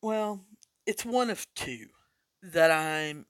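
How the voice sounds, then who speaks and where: A man's voice: on the high side, expressive, a bit bright, clean tone, no echo. A man hosting a sports betting podcast in a casual, chatty way while recovering from losing his voice. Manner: casual, chatty, deliberate picks. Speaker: podcast host.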